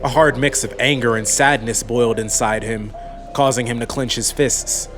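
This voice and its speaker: A man narrates a fantasy audiobook in a voice of average pitch. It is scripted storytelling, set over clearly audible music. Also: steady tone, moderate pace.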